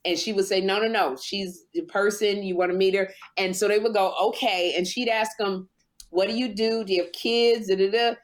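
A woman talking casually among friends, spinning a long story in unhurried, drawn-out phrases. Her pitch sits in the middle of the range. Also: fairly even intonation. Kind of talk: casual group chat.

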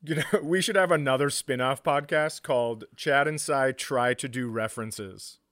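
A man with an average-pitched voice chats as co-host of a comedy podcast. The sound is dry with no room echo, and he riffs wryly and casually with plenty of swearing. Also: moderate pace, a bit bright. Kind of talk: casual comic banter.

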